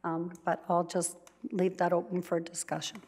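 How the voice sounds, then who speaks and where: A woman councillor speaks up in a council meeting in an even, flat tone. She sounds hesitant and apologetic, and her voice is going hoarse. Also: on the low side, moderate pace. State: losing her voice. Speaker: town councillor.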